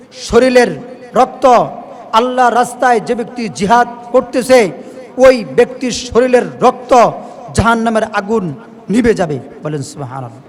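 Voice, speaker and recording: A man preaching an emphatic, exhorting Islamic sermon, repeating phrases for effect. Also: very high-pitched. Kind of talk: impassioned sermon to listeners. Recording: long hall reverb on voice.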